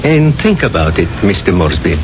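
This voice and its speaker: An actor playing a serene, solemn temple guru in a 1970s radio mystery, speaking formally and in measured, certain pronouncements. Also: male voice, sits low, very animated. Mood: solemn, instructive. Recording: muffled 1970s radio broadcast, loud music.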